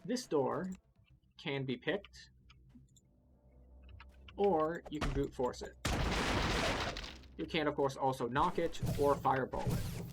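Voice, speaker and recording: A man giving step-by-step playthrough commentary as a video game guide, explaining things in an instructive way. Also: a little high.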